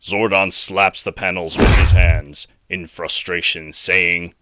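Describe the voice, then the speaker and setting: A man's voice: deep voice, steady tone, slow. A man narrating a scripted action audio drama as a storyteller. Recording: noisy home recording.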